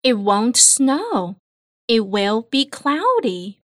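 A woman reading an English listening test in a slow, carefully spaced delivery. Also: on the high side, big pitch swings, no room sound, studio quality. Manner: clear, carefully spaced words. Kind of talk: slow, deliberate exam reading.

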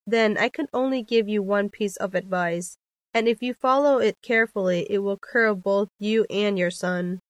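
A woman's voice: mid-range voice, moderate pace, no room sound. A woman carefully reading scripted dialogues and a passage for an English listening test. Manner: clear, careful textbook delivery.

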